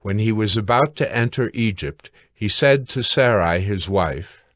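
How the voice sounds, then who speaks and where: A man narrating scripture from a script in a measured, formal reading manner. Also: lowish voice, animated, a bit bright, dry recording, clean studio sound.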